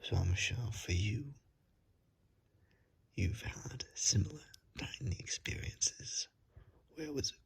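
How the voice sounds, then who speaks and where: A performer voicing a hungry, courteous but menacing vampire in a breathy, coaxing ASMR roleplay whisper. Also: low-pitched, animated, exaggerated voice. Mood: teasing, pleased. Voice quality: breathy, husky whisper with creaky edges. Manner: slow, coaxing, politely threatening.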